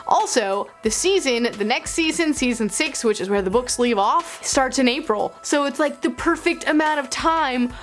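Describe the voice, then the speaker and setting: A woman's voice: sits high, normal speed, few pauses, soft background music. A young adult woman chatting casually to camera as a book vlog host, with informal emphasis and playful asides.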